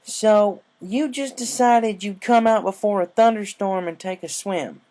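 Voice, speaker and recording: A folksy female workhorse pony , voiced by a man, fond but quick to scold. The voice is lifted high for a storybook tale, plain-spoken and concerned. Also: a little mellow. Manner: folksy, chiding.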